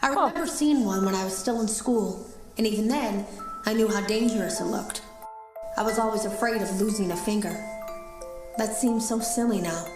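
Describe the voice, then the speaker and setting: A woman's voice: mid-range voice, moderate pace, lightly acted. A woman playing an anxious game developer recording secret audio logs for a horror game, earnest, uneasy and confiding. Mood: uneasy recollection. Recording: roomy echo, music behind.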